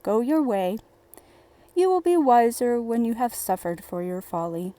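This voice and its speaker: A woman acts a stern fairy-tale father. He speaks slowly and wearily in a mellow tone, admonishing and dismissive. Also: fairly high pitch, very animated. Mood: grudging, stern.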